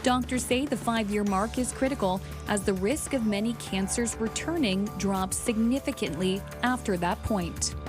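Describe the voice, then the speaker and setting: A woman reading a scripted health news segment in a crisp, measured way. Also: mid-range voice, music in the background. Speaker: health reporter.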